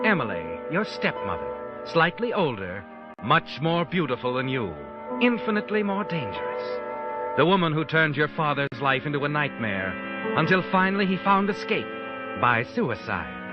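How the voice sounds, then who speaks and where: Ominous old-time radio suspense narrator. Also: male voice, fairly high pitch, very animated, normal speed. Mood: ominous, knowing. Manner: intense, dramatic, addressing the character directly. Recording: muffled old radio recording, loud music.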